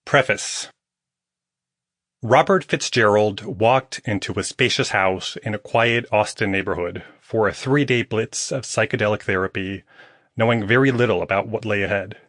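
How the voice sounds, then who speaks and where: A man's voice: on the low side, normal speed, a bit bright, no room sound. A man reading scripted nonfiction audiobook narration as the author of the book. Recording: close, dry studio recording.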